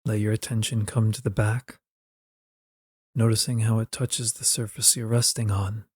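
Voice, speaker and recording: A man narrating a scripted guided meditation in a gentle, soothing manner, giving soft, unhurried instructions close to the mic. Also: fairly low pitch, level tone, breathy edge, dry recording.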